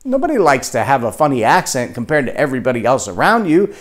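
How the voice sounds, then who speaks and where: Animated Texan man giving a leadership talk. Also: mid-range voice, fast talker. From Texas. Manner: animated, acts out quoted voices. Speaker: leadership speaker. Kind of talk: motivational talk to camera.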